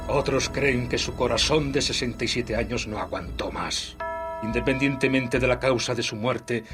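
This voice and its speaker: An actor playing an old exiled nobleman who recounts history in solemn, measured Castilian Spanish, as a dramatic game narration. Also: mid-range voice, close to an everyday voice.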